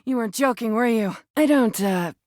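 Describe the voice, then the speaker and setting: A woman's voice: fairly high pitch, moderate pace, a little mellow, lightly acted. A woman voicing a crude, flirty, brash rubber duck come to life in a video game, with a sarcastic, taunting manner. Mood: dry, scornful. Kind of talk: video game character voice lines.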